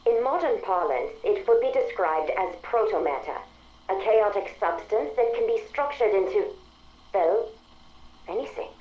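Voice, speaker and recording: A woman's voice: medium pitch, animated, moderate pace, dull audio, close to an everyday voice. An actress playing an articulate female artificial intelligence that longs for a body, speaking precisely and formally in video game audio logs. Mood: precise, curious. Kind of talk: dramatic video game audio log acting.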